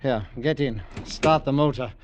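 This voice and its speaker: A man's voice: medium pitch, unhurried, lightly acted. A man playing a terse hunted fugitive. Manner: clipped, commanding, dry. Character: weary hunted male fugitive, terse and guarded. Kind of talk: 1950s radio drama acting. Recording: dull old radio recording with faint hiss.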